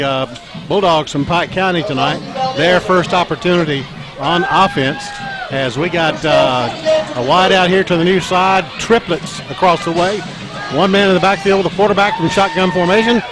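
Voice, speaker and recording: Local play-by-play man, scratchy strained voice, halting delivery with fillers, outdoor stadium with band music and crowd. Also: a little high. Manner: halting, frequent fillers, rises when plays break open. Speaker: play-by-play announcer. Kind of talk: local high school football commentary.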